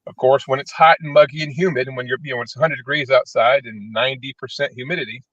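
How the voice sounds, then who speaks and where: A man's voice: medium pitch, fairly even intonation, quick pace, a bit bright, no echo. A man, a panel guest, explaining casually and plainly, often checking in with the listener. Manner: plain-spoken, checks in with "right?". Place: parked vehicle in a parking lot. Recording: dry, dull lo-fi call audio.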